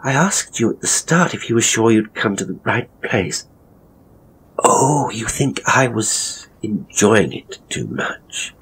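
A man playing a pompous, theatrical ghost tour guide, telling gruesome tales with suspenseful, drawn-out phrasing in a horror audio story. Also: on the low side, expressive, a bit bright, a little hiss or hum, pitched above the performer's own voice, rough recording. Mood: affronted, defensive.

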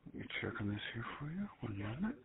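Polite hotel phone agent guy, talks fast, rough voice, tinny phone audio. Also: medium pitch, very animated, a little hiss or hum. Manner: polite, businesslike. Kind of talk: customer service phone call. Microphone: telephone. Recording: narrow tinny phone line.

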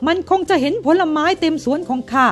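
A woman's voice: brisk, faint music behind, natural voice. A woman voicing a spoiled young man, the garden owner's son, in a haughty exclaiming voice in a bedtime storybook. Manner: haughty, exclaiming, high-pitched.

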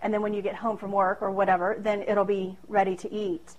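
A woman's voice: mid-range voice, flat delivery, brisk, a little mellow, low-quality recording. A woman in her thirties giving a casual cooking demonstration in a small home kitchen, chatty and self-deprecating, recorded by a camera across the room. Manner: chatty and self-deprecating, with frequent fillers and laughs. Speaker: home cooking video host.

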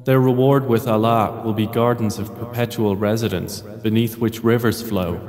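A man with a fairly low voice reads scripture aloud as a narrator. His delivery is solemn and deliberate, broken into measured phrases. A long reverb trails behind his words. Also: steady tone, darkish tone. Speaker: narrator of English Quran translation.

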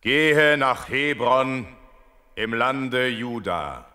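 An actor playing a majestic, commanding God in a Bible radio play, solemn and drawn-out. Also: male voice, medium pitch, lively intonation, sharp tone, full character voice.

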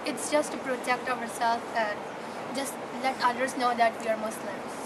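Teen schoolgirl, halting speech, slightly high, distant mic in outdoor noise. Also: fairly even intonation. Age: teens. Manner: halting, self-correcting speech. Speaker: student interviewee. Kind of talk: self-introduction to camera.

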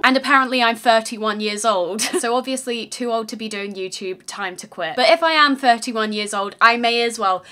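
A young British woman rambling through a crude, sarcastic gaming vlog intro in a slightly high voice. She speaks close into a studio mic in a small bedroom. Clear music plays under her. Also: normal speed, hardly any pauses, bright, forceful tone. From Britain. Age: young adult. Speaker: gaming YouTuber. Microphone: large studio mic in front.

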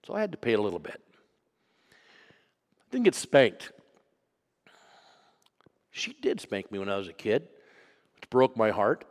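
A man preaching a sermon as pastor, telling a personal story and moving from loud to hushed. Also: on the low side, wildly swooping pitch, a little slow, pauses often, in a large hall. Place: church sanctuary.

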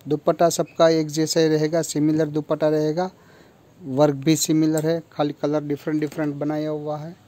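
A man's voice: fairly high pitch, completely flat delivery, normal speed, dark tone. A young man from India in a clothing store, listing garment features in a plain, matter-of-fact showcase, recorded on a handheld phone. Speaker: kurti factory seller. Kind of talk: product showcase walkthrough. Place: clothing store with fabric shelves.

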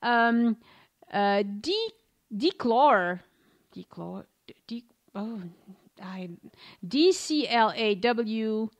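Woman podcast host, fairly high voice, chatty, laughing, reading reviews aloud. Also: expressive, extremely slow, pauses often. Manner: chatty, laughs often, stumbles over names. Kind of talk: casual chat, reading listener reviews.

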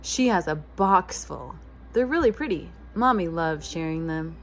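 A woman's voice: fairly low pitch, animated, measured pace, a little hiss or hum. A woman in her thirties reading a picture book aloud as a preschool teacher, expressively addressing young children. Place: living room with couch, indoors.

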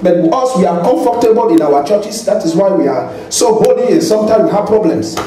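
A middle-aged man with a Cameroonian accent, a pastor addressing his congregation, animated and punctuating with hallelujah and amen. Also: high voice, a little fast. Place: large church hall stage. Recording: echoey hall with crowd, from far off.

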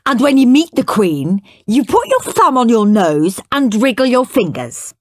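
A woman's voice: on the high side, big pitch swings, measured pace, no room sound, clean studio sound, voice pushed up. A woman voicing a bossy, scornful, mischievous young boy in an emphatic, taunting way for a children's storybook. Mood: smugly insistent.

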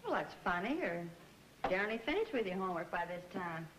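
A woman's voice: fairly low pitch, very animated, fast, fairly bright tone. An actress playing a wry, exasperated American wife and mother in a 1950s television comedy. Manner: dry, sardonic. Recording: very noisy old TV soundtrack.

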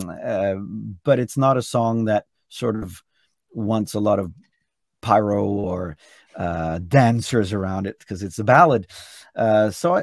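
A hoarse middle-aged Norwegian man talks about his music over a video call, his low voice rough and gravelly. He rambles eagerly, restarting phrases as he goes. The sound is dry and close. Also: dry recording. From Norway. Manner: chatty, enthusiastic, frequent restarts. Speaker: singer and contest entrant. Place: hotel room indoors.

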